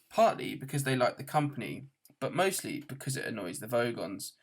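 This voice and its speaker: A man's voice: medium pitch, vocal fry. Guy doing laid-back smug space traveller in an audiobook, breezy, explains stuff like it's no big deal. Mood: enthusiastic. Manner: breezy, matter-of-fact. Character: breezy, self-satisfied alien travel-guide researcher, easygoing man.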